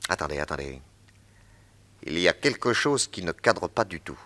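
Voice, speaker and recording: An actor playing a shrewd, calm male detective in a radio drama, speaking in a low, measured way. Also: heavily acted. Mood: calm, probing.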